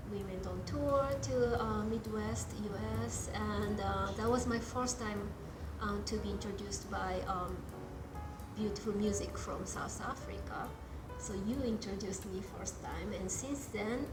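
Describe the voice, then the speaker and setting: A woman with a Japanese accent speaks as a guest in a casual interview, feeling for words with frequent ums. Her voice has a middle pitch. It sits in a roomy, echoey space with music clearly playing underneath. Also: unhurried. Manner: hesitant, many ums and uhs. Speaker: guest jazz pianist. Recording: echoey room with music behind.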